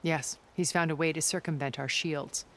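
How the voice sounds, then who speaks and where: An actress playing a cool, haughty, middle-aged schemer in a video game, speaking in a measured, formal and wry manner. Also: female voice, low-pitched, fairly even intonation, fairly bright tone, slight background noise. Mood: persuasive, cool. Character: cool, calculating woman schemer, haughty and persuasive. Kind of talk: dramatic video game cutscene acting.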